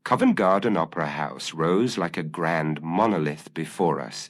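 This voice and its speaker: Low male voice reading a detective audiobook, measured and formal, kinda literary. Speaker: audiobook narrator. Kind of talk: scripted first-person detective story narration.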